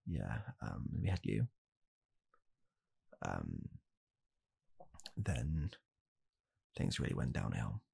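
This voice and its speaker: A young man playing a blunt, callous father in an ASMR comedy roleplay, speaking softly and haltingly in a deadpan way. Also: rumbling low, vocal fry, husky, pitched below the performer's own voice, exaggerated voice. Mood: deadpan, bleak. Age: young adult. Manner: soft-spoken, halting, deadpan. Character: blunt, callous father calmly blaming his child. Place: small home room, indoors.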